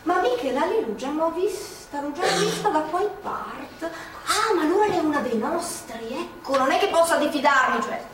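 An actress playing a feisty, talkative former partisan courier, fond and insistent, chatting colloquially with a Milanese accent in a stage drama. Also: female voice, high-pitched, animated, normal speed, hardly any pauses. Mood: chatty, explanatory. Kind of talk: theatrical stage dialogue. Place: theatre stage. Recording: very echoey theatre, distant mic, audience noise.

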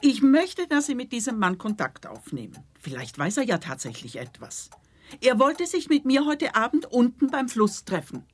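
A worried mother in a radio crime play. She speaks formally and insistently, with a very lively, swinging intonation. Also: on the high side, normal speed, breathy edge. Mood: insistent, troubled. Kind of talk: radio detective play dialogue.

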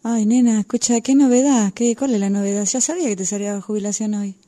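A woman's voice: mid-range voice, fast, mellow, natural voice. A woman playing a sarcastic, sceptical, impatient friend in a radio drama, with an Argentine accent and a dismissive, mocking manner. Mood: unimpressed. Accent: Argentine Rioplatense. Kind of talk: radio drama workshop phone dialogue.

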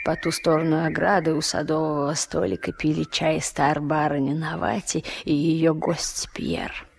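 A woman's voice: low-pitched, level tone, normal speed, vocal fry, darkish tone, slight background noise. A woman narrating childhood memories as scripted storytelling in a radio play, in a reflective way. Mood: wistful.

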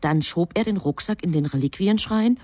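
Low-voiced woman narrating a radio drama. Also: normal speed, noisy background.

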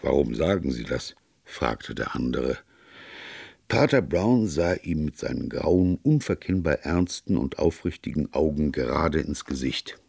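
A male narrator reads literary prose in a very low voice with some gravel in it. The intonation is lively and varied, and the sound is dry and close. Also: unhurried, dry recording.

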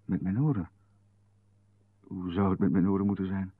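A man's voice: low-pitched, fairly even intonation, normal speed. A man playing a nervous writer who is being questioned in a radio play, hesitant and bewildered. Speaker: writer Babbert, the visited host. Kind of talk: 1990s radio play acting. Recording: narrow phone-like radio band.

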